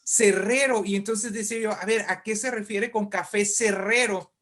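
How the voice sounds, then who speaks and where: A man's voice: sits high, steady tone, moderate pace, a bit bright. Courteous Mexican man in literary conversation. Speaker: guest writer and poet. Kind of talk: casual literary discussion.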